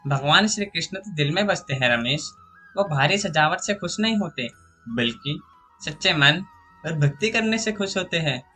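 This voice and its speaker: A man voicing a gentle, contented village mother in a moral story, reassuring and instructive. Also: a little high, expressive, moderate pace, slightly rough, soft background music, pitched above the performer's own voice, exaggerated voice.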